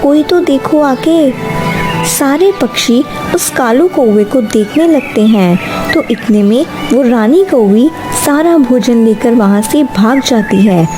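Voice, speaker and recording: A woman with a high voice narrates a children's tale from a script. Music plays clearly beneath her storytelling.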